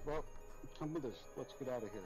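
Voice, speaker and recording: A man's voice: mid-range voice, quick pace, music in the background. A man playing a tabletop role-playing game, talking casually and improvising in character.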